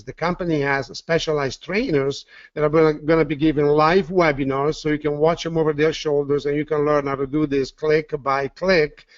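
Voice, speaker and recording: This is a man with an Italian accent giving an upbeat sales pitch on a webinar. His voice sits a little high, and he often repeats words and says "uh" as he goes. The audio is dull and dry, like a lo-fi computer mic. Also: dry recording. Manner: enthusiastic, repeats words with fillers. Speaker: webinar presenter.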